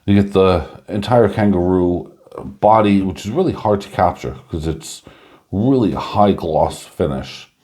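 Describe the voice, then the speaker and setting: A man chatting casually through a coin review, rambling with lots of fillers and a slightly hoarse voice. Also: low voice, a little slow, dark tone.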